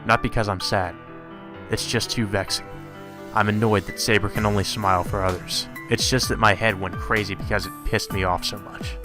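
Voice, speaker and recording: A man's voice: on the low side, fairly even intonation, moderate pace, over music. A man doing a let's play, reading a visual novel aloud and breaking off into casual, sweary, incredulous commentary. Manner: irreverent, sweary, reacting incredulously to the script.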